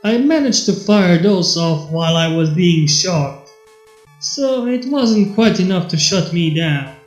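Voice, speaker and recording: A man's voice: high voice, lively intonation, unhurried, roomy sound, dull audio, soft background music. A man voicing a plucky, sincere young robot hero in a fan story reading, in a plain, spirited way. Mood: confident.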